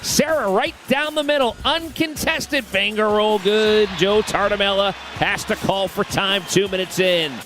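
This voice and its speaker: A man's voice: sits high, a little slow, few pauses, music in the background. A man giving live basketball play-by-play commentary in a radio broadcast clip. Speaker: play-by-play announcer.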